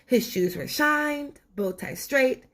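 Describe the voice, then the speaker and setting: A woman narrating a children's storybook expressively, with deliberate, dramatic phrasing. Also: high-pitched, lively intonation, fairly bright tone. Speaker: storybook reader.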